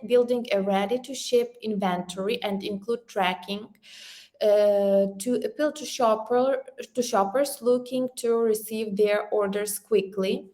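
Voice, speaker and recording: A woman from Ukraine presenting an online workshop over a video call, speaking slowly with many fillers and restarts. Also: fairly high pitch, darkish tone.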